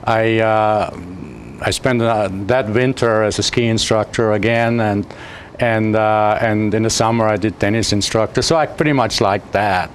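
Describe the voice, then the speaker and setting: Halting Austrian man, low voice. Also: fairly even intonation, a little hiss or hum. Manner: halting, many fillers and restarts. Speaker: physics professor, guest. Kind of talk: interview answers.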